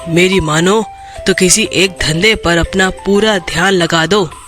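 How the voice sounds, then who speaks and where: An honest cartoon woodcutter, earnest and respectful, acted out by a storyteller. He speaks in a lowered, bright voice at a measured pace, recorded dry. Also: steady tone, soft background music. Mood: firm. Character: honest, hardworking woodcutter Mohan, sensible and respectful.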